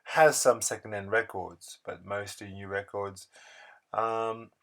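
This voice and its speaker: Low-voiced man narrating a casual vlog. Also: flat delivery, fairly bright tone. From France. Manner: halting, unscripted, rambling delivery. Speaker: record-collecting video blogger.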